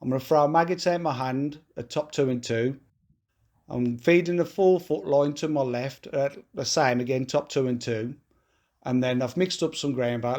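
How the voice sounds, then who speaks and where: A man's voice: mid-range voice, a little mellow. Casting note: male match angler, stuffy from a cold, mid-range voice, plain matter-of-fact running commentary. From England. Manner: matter-of-fact, talks through his tactics step by step. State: congested from a cold. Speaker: match angler vlogging his match. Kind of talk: casual explanatory commentary while fishing. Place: outdoors at a fishing lake.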